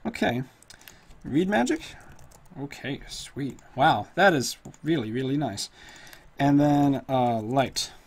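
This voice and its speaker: A man with a slightly hoarse, middle-pitched voice talks through a tabletop character build at his own loose pace. He rambles and thinks aloud, full of ums and restarts. Keys clatter now and then behind him. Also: lively intonation, slow. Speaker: tutorial host. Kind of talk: casual screen-recorded game tutorial.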